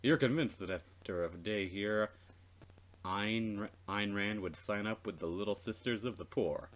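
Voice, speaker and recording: A man's voice: on the low side, unhurried. A man co-hosting a let's play, bantering casually and reading game text aloud in a dry, wry manner.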